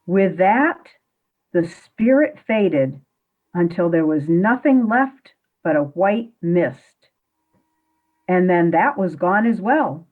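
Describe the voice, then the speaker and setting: A woman narrating a radio play with expressive storytelling. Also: on the low side, slow pace. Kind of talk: scripted narration. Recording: phone-like remote audio.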